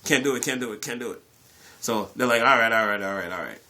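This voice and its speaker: A man with a mid-pitched voice tells a story in a casual, conversational way. He is animated and expressive, repeating short phrases and slipping into bits of acted-out dialogue as he addresses his online viewers. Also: fast. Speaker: YouTube storyteller. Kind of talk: casual personal storytelling to camera.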